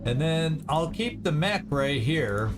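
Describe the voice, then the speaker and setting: A man giving casual commentary on a strategy game, thinking aloud in a deliberate way as he plays. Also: fairly high pitch, lively intonation. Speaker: gaming video host. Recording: game music behind the voice. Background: video game music.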